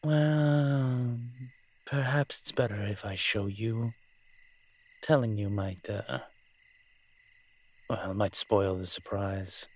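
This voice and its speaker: A man playing a proud, flirtatious incubus demon professor in an intimate audio roleplay, husky and teasing, with hesitant, drawn-out pauses. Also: on the low side, darkish tone. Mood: hesitant, uneasy.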